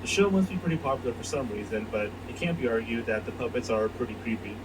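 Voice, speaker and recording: A man's voice: medium pitch, fast talker. A man reading scripted narration for a list video, heard as noisy replayed audio.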